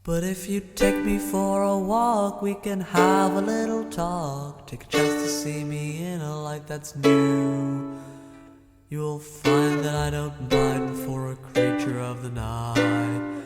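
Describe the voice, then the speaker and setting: A woman playing a gentle, misunderstood wolf, singing its plea in an audio drama song with guitar. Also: low voice, steady tone, takes it slowly. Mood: gentle, pleading. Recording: reverberant, over loud plucked guitar. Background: guitar music.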